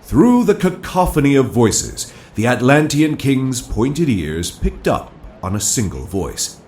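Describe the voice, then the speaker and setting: A man's voice: medium pitch, big pitch swings, slow pace, a little mellow, soft background music. A man narrating a cinematic audio drama in a dramatic, scripted storytelling style.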